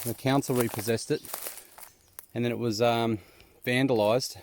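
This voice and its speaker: A man's voice: medium pitch, fairly even intonation, normal speed, slight background noise. A laid-back Australian man chatting casually and slangily to viewers as he vlogs about exploring outdoors. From New South Wales, Australia. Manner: laid-back, informal, slangy, self-deprecating. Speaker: vlogger and amateur explorer of abandoned mines. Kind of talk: casual vlog chat to viewers. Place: outdoors in the bush.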